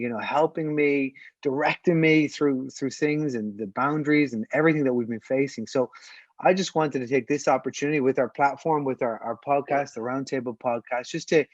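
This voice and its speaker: An Irish-accented man hosting a conversational podcast interview as a pastor, speaking in an earnest, appreciative way. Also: medium pitch. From Ireland. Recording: dull, slightly lo-fi audio.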